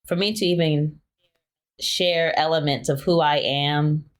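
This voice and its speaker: A woman in her twenties, a guest talking candidly about her relationships in a hesitant, soft-spoken way with lots of filler words. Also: low-pitched, fairly even intonation, measured pace. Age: 20s. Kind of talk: candid personal interview.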